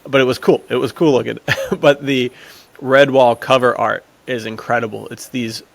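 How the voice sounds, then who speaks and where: A man's voice: mid-range voice, fairly even intonation, normal speed, gravelly, no room sound. A man chatting casually as a podcast panelist, talking in an enthusiastic, rambling way. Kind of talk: casual chat.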